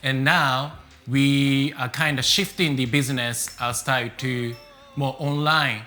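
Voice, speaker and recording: A man's voice: mid-range voice, measured pace, soft background music. A man with a Japanese accent, a tea business owner casually introducing himself with lots of fillers. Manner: relaxed, many fillers.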